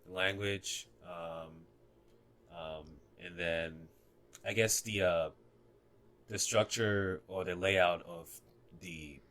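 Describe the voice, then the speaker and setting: A man's voice: sits low, fairly even intonation, slow pace, fairly bright tone. A young man in his twenties from Ghana, a native Ga speaker with a slight Ghanaian accent, talking hesitantly with many fillers and restarts in a casual podcast conversation. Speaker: guest.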